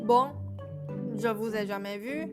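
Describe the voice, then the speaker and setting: A woman's voice: medium pitch, slow, natural voice. A woman playing a put-upon young servant girl, a plaintive Cinderella with some exasperation, in a student radio play. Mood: exasperated. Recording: music behind the voice.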